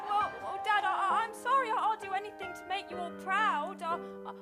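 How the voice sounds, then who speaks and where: A woman's voice: extremely high pitch, brisk, over loud music. A woman playing a meek, downtrodden young pantomime heroine, stammering and earnestly eager to please. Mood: apologetic. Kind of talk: improvised pantomime acting.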